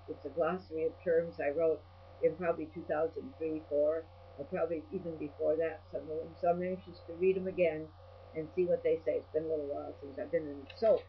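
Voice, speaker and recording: Old lady rambling and ranting to her vlog, blunt n salty, lowish-fi muffled sound w a bit of hum. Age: older. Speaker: video blogger. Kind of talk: rambling personal monologue. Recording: dull lo-fi audio with mains hum.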